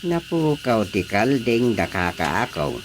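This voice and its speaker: An actor playing a gruff, questioning village chairman in an Ilocano radio drama comedy, with an Ilocano accent. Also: medium pitch, very animated, slow, slight background noise. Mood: questioning, businesslike.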